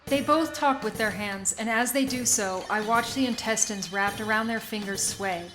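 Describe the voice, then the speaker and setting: A woman's voice: on the high side, moderate pace, close to an everyday voice, poor audio. An actress playing a frightened, haunted young woman in a radio play, with intense, dramatic delivery. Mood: eerie, uneasy. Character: haunted, frightened young Sicilian woman seeing bloody visions. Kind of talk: cinematic radio play, first-person narration and dialogue. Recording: music under the voice. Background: music soundtrack.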